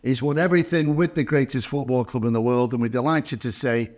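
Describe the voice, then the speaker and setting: A man's voice: medium pitch, fairly even intonation, fairly quick, a little mellow. A man hosting a podcast interview, asking encouraging questions in an upbeat way. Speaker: podcast host.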